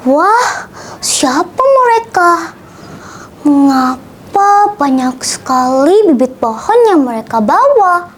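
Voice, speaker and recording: A female storyteller voicing an earnest young forest animal in a children's tale, with exclamatory, drawn-out, theatrical delivery. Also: extremely high pitch, very animated, a little hiss or hum, exaggerated voice. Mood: alarmed, panicked.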